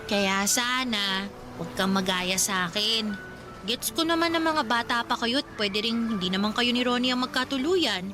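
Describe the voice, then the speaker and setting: A woman's voice: on the high side, normal speed, music in the background, natural voice. A woman playing a sentimental, teasing older girl cousin in a radio romance drama, confiding and dramatic. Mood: gently urging.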